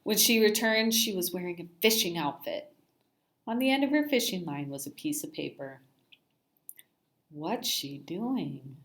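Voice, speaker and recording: A woman's voice: on the low side, expressive, normal speed, fairly bright tone. A woman narrating a children's story aloud expressively, like a teacher reading to a class.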